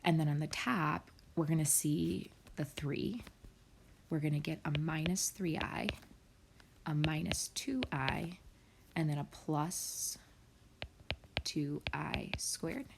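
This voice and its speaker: A woman's voice: low voice, slow, vocal fry, long pauses, noisy background. A woman math teacher talking students through problems step by step in a recorded screen lesson, often dropping to a near whisper.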